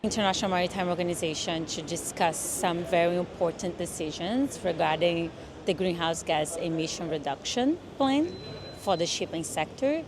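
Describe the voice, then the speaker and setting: Female interviewee, lowish voice, earnest, noisy street setting. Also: normal speed.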